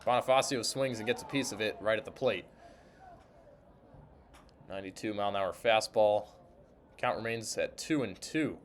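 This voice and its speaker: A man's voice: on the low side, normal speed, pauses often, a little hiss or hum. A man calling baseball play-by-play for radio in clipped, descriptive phrases. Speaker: sports broadcaster, interviewer and play-by-play announcer. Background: ambience of a sparsely filled ballpark.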